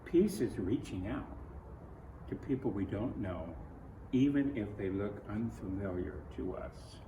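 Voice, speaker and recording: A man from Ohio with an American accent reads a picture book aloud to children, adding his own earnest, gentle comments in halting phrases. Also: fairly low pitch, expressive. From Ohio, United States. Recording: roomy echo, distant mic, faint noise.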